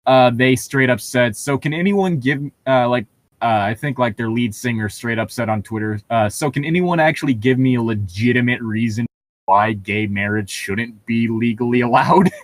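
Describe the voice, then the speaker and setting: A man's voice: medium pitch, normal speed, dry recording. A man in a casual, opinionated chat, talking in a rambling, sweary way.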